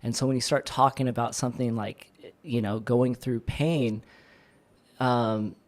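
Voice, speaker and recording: A man's voice: medium pitch, fairly even intonation, measured pace. A man talking as a podcast guest, earnest and searching for the right words. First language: English. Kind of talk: casual chat.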